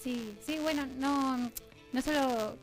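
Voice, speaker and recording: A woman's voice: high voice, slow pace, soft background music, rough recording. A woman with an Argentine Rioplatense accent speaking as a radio interview guest, explaining conversationally in long, chained sentences as she thinks aloud. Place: radio studio.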